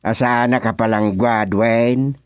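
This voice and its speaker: An actor playing an ambitious village man running for office, animated and boastful in a comedic radio drama. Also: mid-range voice, a little slow, clean tone, no echo, studio quality, natural voice.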